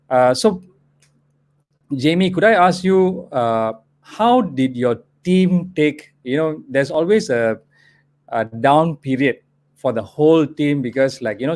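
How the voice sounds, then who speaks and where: A man hosting a live webinar, encouraging and full of "you know" fillers. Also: on the high side. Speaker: host.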